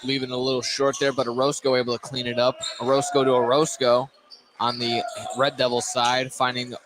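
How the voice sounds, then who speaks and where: A male radio sportscaster with a mid-range voice calls a basketball game live. He doubles back on phrases while tracking the play. Clear gym noise and ball bounces sit behind him. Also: mid-range voice, level tone, brisk. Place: indoor gymnasium during a basketball game. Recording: noisy live gym broadcast. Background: loud gym ambience, bouncing basketball.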